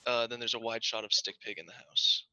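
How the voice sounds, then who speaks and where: A man's voice: mid-range voice, level tone, a little fast, bright, forceful tone, dry recording. A man reading camera shot directions at a script read, with muffled video call audio.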